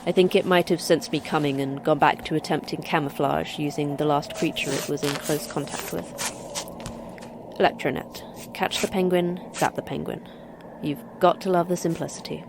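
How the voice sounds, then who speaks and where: A woman's voice: low voice, fairly even intonation, normal speed, gravelly, noisy background. A woman playing a brusque, sardonic, talkative commander in a sci-fi horror audio drama. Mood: wry, intent. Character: wry, brusque female commander of an Antarctic monster facility.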